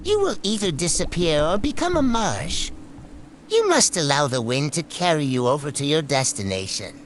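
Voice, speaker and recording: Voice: mid-range voice, very animated, moderate pace, a little hiss or hum, close to an everyday voice. A storyteller voicing the wise, patient spirit of the desert sands in a storybook tale, cooing and instructing with emphasis. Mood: coaxing.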